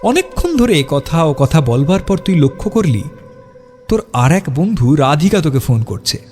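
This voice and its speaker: A man's voice: a little high, big pitch swings, normal speed, darkish tone. A man narrating a scripted horror story in a dramatic, suspenseful storytelling style. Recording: music behind the voice. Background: music.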